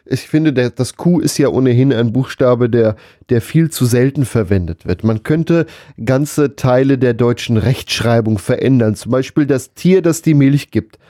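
Man, podcast co-host, relaxed dark timbre, hesitant rambling delivery, humorous casual chat, very dry sound. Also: mid-range voice, normal speed, few pauses, dry recording.

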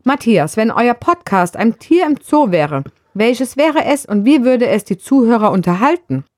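A woman's voice: on the high side, big pitch swings, normal speed, darkish tone, no echo, clean studio sound. A woman reading out scripted questions to podcast hosts. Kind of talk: scripted questions read aloud.

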